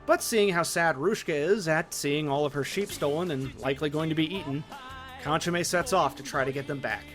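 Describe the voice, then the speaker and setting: A man's voice: a little high, fairly quick, a bit bright, faint music behind. A man narrating a scripted video essay, opinionated and conversational.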